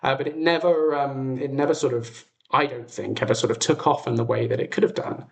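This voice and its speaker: A man's voice: mid-range voice, steady tone, brisk, vocal fry. A British man chatting casually as a livestream host, telling stories in a hesitant way and often interrupting himself. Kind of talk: casual livestream chat, storytelling.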